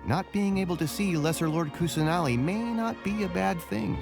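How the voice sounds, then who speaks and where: An actor playing an affable, sly academic researcher in a video game, talking in a wordy, mock-humble way. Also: male voice, high voice, moderate pace, vocal fry. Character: glib, self-deprecating male academic researcher, affable and sly. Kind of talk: video game character acting. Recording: background music.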